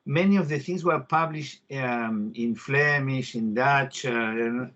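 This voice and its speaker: A man co-hosting a podcast, interviewing a guest in a hesitant way, often pausing and restarting. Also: mid-range voice, a bit bright. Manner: hesitant, many fillers and restarts. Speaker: podcast co-host. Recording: dull lo-fi recording.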